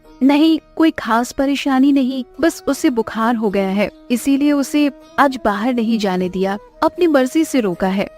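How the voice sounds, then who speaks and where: A woman's voice: sits high, animated, unhurried, darkish tone, faint music behind. A woman voicing a possessive, anxious fisherman's wife and mother in a Hindi cartoon story, emotive and insistent. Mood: guarded reassurance.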